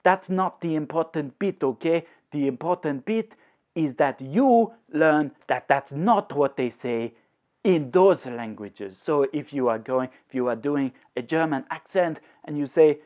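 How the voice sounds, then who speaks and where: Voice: mid-range voice, animated, measured pace, a little mellow. A language tutor giving a video lesson, explaining didactically, repeating points, checking in often and dropping words into English. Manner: checks in with "okay?" and "you know". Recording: thin, narrow phone-like sound.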